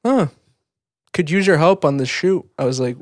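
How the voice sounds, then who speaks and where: A man's voice: fairly high pitch, animated, moderate pace, no echo, studio quality. A man co-hosting a podcast, chatting casually and candidly with a wry, self-deprecating manner. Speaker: podcast co-host.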